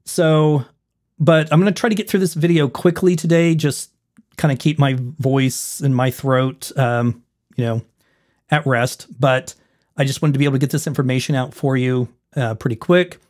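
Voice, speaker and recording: A man hosting a video, talking casually to his viewers with a slightly hoarse voice, rambling and correcting himself as he explains. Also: mid-range voice, fairly even intonation, moderate pace, a little mellow. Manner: rambling, self-correcting, addresses viewers as folks. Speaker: video host.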